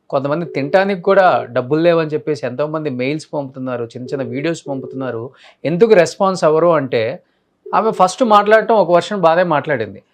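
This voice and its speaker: A male news anchor with a fairly high-pitched voice comments off the cuff. He is emphatic, stacking up points one after another and dropping English words into his sentences.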